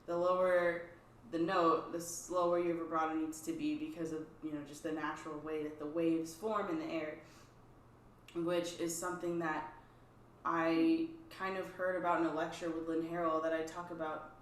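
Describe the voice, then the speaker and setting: A woman's voice: deep voice, flat delivery, normal speed, slight background noise. A woman co-hosting a casual chat, explaining string technique in an easygoing way. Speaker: co-host, string teacher. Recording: echoey room, distant mic.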